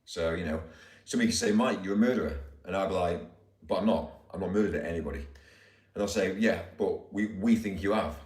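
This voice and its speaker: A man with a deep voice, ranting in rambling, indignant casual chat as a live streamer. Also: brisk, darkish tone. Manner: rambling, indignant, repeats himself. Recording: roomy, distant mic.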